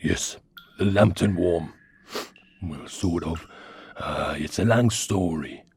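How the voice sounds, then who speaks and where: An actor playing a cheeky, chatty giant talking worm in a radio sitcom, with a gruff, husky Geordie voice and a blunt, rambling manner. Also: male voice, low voice, slow pace, a little mellow, a little hiss or hum, heavily acted. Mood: musing. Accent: Geordie, northeast England. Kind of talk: comic radio sitcom acting.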